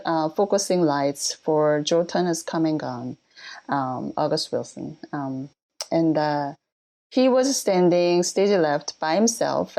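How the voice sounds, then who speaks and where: A woman from Korea, a native Korean speaker, telling her story as a guest in a casual interview, with many pauses and fillers. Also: fairly low pitch, warm tone. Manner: thoughtful, many pauses and fillers, light laughs. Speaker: guest lighting designer.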